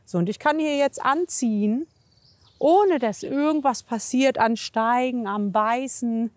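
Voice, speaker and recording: A woman's voice: a little high, a little slow. A woman giving a casual hands-on demonstration outdoors, coaxing with short repeated reassurances and explaining as she works. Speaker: horse physiotherapist and trainer. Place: outdoors at a horse yard in wind and rain.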